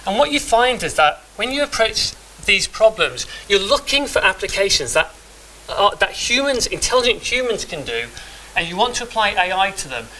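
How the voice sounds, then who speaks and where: A man's voice: high-pitched, very animated, moderate pace, sharp tone, slight background noise, low-quality recording. A middle-aged man giving a live talk with a demo in a meeting room, speaking conversationally with frequent restarts and fillers. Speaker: conference speaker.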